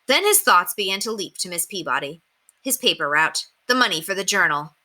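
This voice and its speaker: A woman narrates a children's book in an expressive, animated read-aloud style. Her voice sits a little low for a woman and has a bright, forceful edge. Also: brisk. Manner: animated, acts out dialogue.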